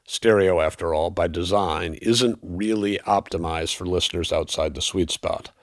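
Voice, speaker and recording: A man giving a scripted product review in a measured, analytical and precise manner. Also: deep voice, dry recording, clean studio sound. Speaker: audio equipment reviewer.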